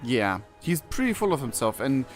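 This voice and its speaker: A man's voice: mid-range voice, very animated, moderate pace, over music. A man giving casual live reaction commentary on a game, rambling and often rewording himself. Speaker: streamer reacting to game cutscenes.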